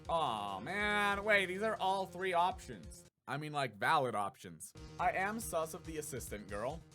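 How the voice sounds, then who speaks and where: A man's voice: on the high side, very animated, a little slow, pushed and bright, faint music behind. A man giving casual commentary while playing through a game.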